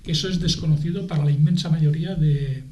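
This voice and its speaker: A middle-aged man with an accent from Spain, explaining things in a TV debate in a halting way, repeating and rephrasing as he goes. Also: a little high, steady tone, darkish tone, slight background noise. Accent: Spanish from Spain. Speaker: guest, aid association spokesman. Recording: echoey room.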